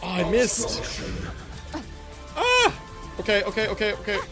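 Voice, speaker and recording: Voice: medium pitch, wildly swooping pitch, unhurried. A young adult livestreamer giving casual, slangy, exclamatory commentary while reacting to gameplay. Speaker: video game livestreamer. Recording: game music behind the voice. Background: video game music and sounds.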